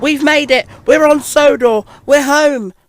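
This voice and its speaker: A man's voice: very high-pitched, fairly even intonation, measured pace, no room sound, pitched above the performer's own voice. A man voicing a plucky, earnest young male tank engine, eager and exclaiming. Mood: excited.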